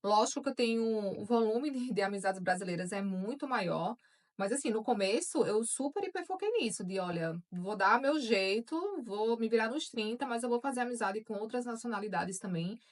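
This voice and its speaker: A Brazilian woman co-hosting a podcast, her voice pitched fairly high. She talks very quickly and emphatically and readily agrees with her co-host. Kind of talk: casual podcast chat.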